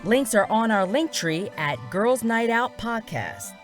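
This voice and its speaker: A woman's voice: fairly high pitch, lively intonation, measured pace, soft background music. A woman announcer reading scripted credits and notices for a fiction podcast.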